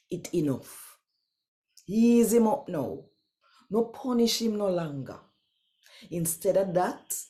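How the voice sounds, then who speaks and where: Jamaican lady reading scripture out loud, mellow voice, takes her time, talks right to you. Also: medium pitch. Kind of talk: expressive scripture reading and direct address to viewers.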